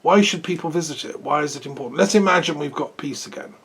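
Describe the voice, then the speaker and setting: A man's voice: a little high, fast talker, fairly bright tone, husky. A man hosting an interview, asking rambling, friendly questions. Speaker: host. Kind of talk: casual interview.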